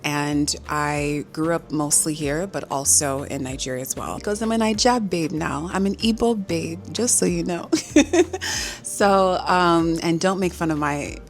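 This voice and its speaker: A young woman with a low voice talks straight to the camera, telling her story in a lively, giggly way. She sounds a little nervous. Soft music plays faintly behind her. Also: unhurried. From Los Angeles, United States. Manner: chatty, giggly, animated storytelling. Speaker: vlogger. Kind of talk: casual talk to camera.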